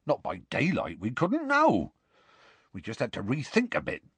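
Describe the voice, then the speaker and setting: Huge gruff bloke for an audiobook, raspy, rambly, warm hearted, really animated. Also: male voice, mid-range voice, normal speed, no echo, pitched above the performer's own voice, full character voice. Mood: indignant. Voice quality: gruff, growly. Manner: colloquial, rambling, dropped consonants. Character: big gruff rustic man, warm-hearted, indignant storyteller.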